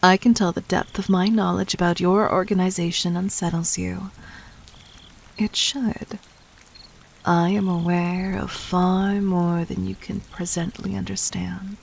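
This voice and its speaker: A woman playing a poised, seductive demon queen in an intimate audio drama, breathy and husky, speaking with formal, teasing condescension. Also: fairly low pitch, flat delivery, unhurried, slight background noise. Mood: knowing, commanding.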